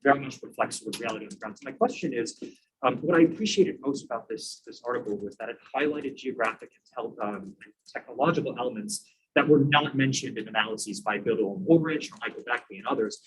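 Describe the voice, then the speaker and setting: A man's voice: medium pitch, quick pace, breathy edge, low-quality recording. A man who grew up in Taiwan, a graduate student asking a carefully framed policy question at a seminar.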